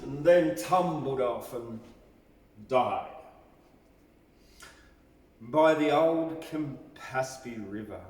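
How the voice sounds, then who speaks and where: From some way off in a hall with long reverb, a man recites a bush ballad. He takes his time over the verse, delivering it slowly and with emphasis like a storyteller. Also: fairly low pitch. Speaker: poetry reader. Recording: echoey hall, distant mic.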